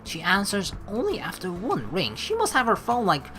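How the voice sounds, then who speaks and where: A woman doing chatty, excitable game commentary, mixing story text read aloud with playful asides. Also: mid-range voice, normal speed, faint music behind. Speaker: let's play host.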